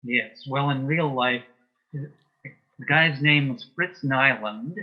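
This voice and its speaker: Casting: male interview guest, mid-pitched and rough-edged, measured delivery, slightly dull lo-fi recording. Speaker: interview guest, author and playwright. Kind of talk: casual chat interview.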